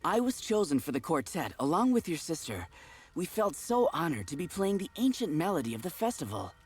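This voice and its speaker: An actress playing a desperate young musician in a fantasy game, husky and pleading. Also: female voice, lowish voice, very animated, fairly quick. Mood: pleading, urgent. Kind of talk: fantasy game cutscene acting.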